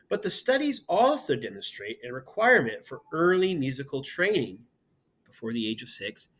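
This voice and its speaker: Bright-voiced male teacher reading aloud. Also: medium pitch, husky. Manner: reads steadily, then talks casually to students. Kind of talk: reading an article aloud to students.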